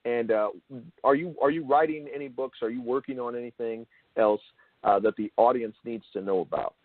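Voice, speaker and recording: Halting male interviewer on a phone-like line. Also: mid-range voice, steady tone, no echo. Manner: halting, repeats and rephrases himself. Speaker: show host interviewer. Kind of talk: casual interview. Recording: narrow phone-like call line.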